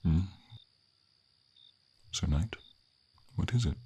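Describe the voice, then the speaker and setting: Man voicing a deep, husky, gentle silver dragon. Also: expressive, heavily acted. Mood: shy, tender. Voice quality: husky, gravelly, breathy low voice. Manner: slow, tender, formal, hesitant phrasing. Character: gentle, noble, melancholy silver dragon befriending a knight. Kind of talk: ASMR fantasy roleplay acting.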